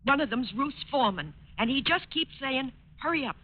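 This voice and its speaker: Middle-aged cleaning woman, very high voice, worried and insistent, old radio drama, tinny noisy recording. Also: lively intonation, fairly quick. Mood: earnest, worried. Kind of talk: 1940s radio acting.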